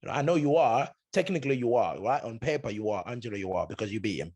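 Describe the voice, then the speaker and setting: Husky podcast host, man in his thirties, teasing and casual, mid-pitched. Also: lively intonation, quick pace, darkish tone. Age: 30s. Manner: teasing, swears, says "mate" and "right?".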